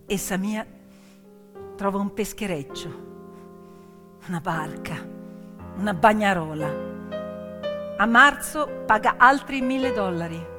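A woman's voice: medium pitch, slow, pauses often. A woman performing a dramatic theatrical monologue as a stage storyteller, intense and emphatic, in short suspenseful phrases. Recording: long hall reverb, piano music underneath. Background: piano accompaniment.

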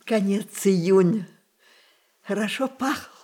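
An actress playing a spirited, imperious old woman in a radio play, coaxing and reminiscing in an expressive, theatrical way. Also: medium pitch, slow. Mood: wistful, excited. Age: older.

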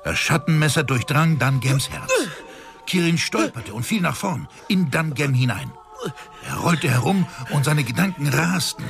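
A man's voice: a little high, animated, normal speed, vocal fry. A man narrating a fantasy radio drama as scripted, dramatic storytelling. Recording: loud music under the voice.

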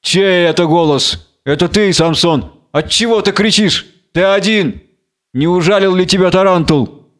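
A man's voice: high voice, a little slow, voice pushed up. A man reading the part of a commanding, scheming Philistine lord, imperious and falsely solicitous, in a biblical tragedy.

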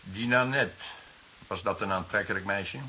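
An actor playing a stern, formal police commissioner in a radio drama, with an authoritative, clipped manner. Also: male voice, fairly low pitch, moderate pace, sharp tone, a little hiss or hum. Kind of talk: Dutch radio drama acting.

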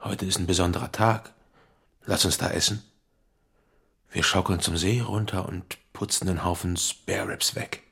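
An actor playing a menacing small-time crook and bully in an audio drama, curt, coarse and commanding. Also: male voice, sits low, steady tone, normal speed, vocal fry, sharp tone, breathy edge, full character voice. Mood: swaggering.

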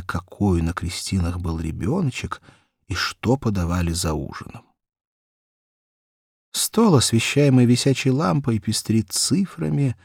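A man narrating a children's story as an audiobook, reading expressively in deliberate, measured phrases. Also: lowish voice, creaky, darkish tone, breathy edge, no room sound, clean studio sound.

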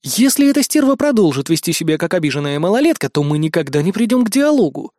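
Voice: mid-range voice, very animated, fast talker, dark tone, dry recording, clean studio sound, close to an everyday voice. A narrator voicing a wry, self-assured male police captain with a firm, sardonic manner in an audiobook story. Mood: exasperated.

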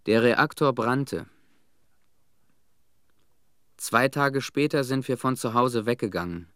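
An actor playing an ordinary townsman who survived a disaster, giving earnest, embittered testimony in a solemn, deliberate radio drama monologue. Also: medium pitch, animated, a bit bright, clean tone, no room sound, studio quality, close to an everyday voice. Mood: wounded, solemn.

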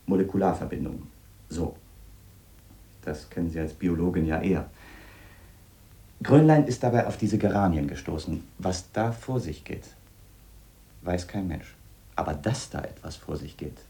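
A man acting an eccentric, obsessed scientist who thinks aloud in a reflective, halting, explanatory way in a radio drama. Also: low voice, creaky, warm tone. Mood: explaining, musing. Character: obsessed eccentric male scientist, musing, earnest, self-doubting. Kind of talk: radio drama monologue in letter form.

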